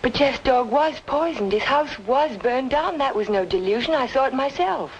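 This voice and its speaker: A woman's voice: high-pitched, animated, normal speed, highs cut off, a little hiss or hum. An actress playing a polite, troubled young woman in an old-time radio noir drama. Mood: worried, doubting. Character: polite, troubled young woman questioning those around her.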